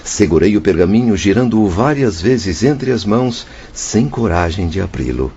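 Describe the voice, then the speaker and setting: A man's voice: lowish voice, animated, moderate pace, dark tone. An actor playing a humble, troubled father spirit who recounts his past in a radio drama, earnest, formal and emotional. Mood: reverent, moved. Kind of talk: Brazilian radio drama narration and dialogue. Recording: dull, faintly noisy radio drama track.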